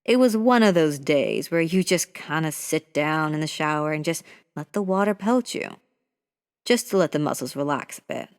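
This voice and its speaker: A narrator reading a scary story aloud in a steady, measured way. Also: medium pitch, smooth. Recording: voice with long hall reverb.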